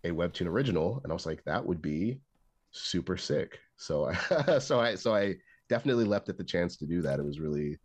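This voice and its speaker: A man's voice: low-pitched, animated, fairly quick, breathy edge, no room sound. A young man chatting as a podcast guest, rambling with restarts and upbeat energy. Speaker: podcast guest, comic artist and animator. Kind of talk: casual chat.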